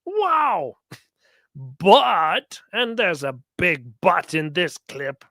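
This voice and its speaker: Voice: mid-range voice, expressive, slow, a bit bright, dry recording, studio quality. A commentator giving sarcastic religious reactions to clips, mocking and often acting out imagined exchanges.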